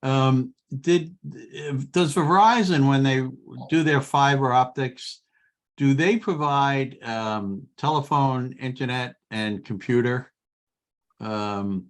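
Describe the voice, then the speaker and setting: A man with a medium-pitched voice asks a question at a meeting. He speaks haltingly and informally, feeling his way through the wording. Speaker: meeting attendee asking a question. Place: meeting room.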